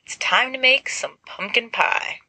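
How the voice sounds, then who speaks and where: A woman voices a teenage boy wizard in a storybook, bright and close in sound. He is halting and wry, puffing himself up into dramatic mock heroism. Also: on the high side, breathy edge, no room sound, voice pushed up, full character voice, rough recording. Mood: wry resolve.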